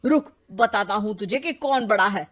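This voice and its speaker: A woman voicing a quarrelsome elder brother and husband in a cartoon story, loud and easily provoked. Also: mid-range voice, moderate pace, lightly acted. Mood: angry. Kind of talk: Hindi cartoon voice acting.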